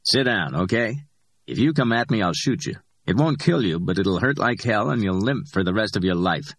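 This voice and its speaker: A man voicing a calm, steady man who speaks in level, clipped threats. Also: lowish voice, moderate pace, dry recording, studio quality. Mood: firm.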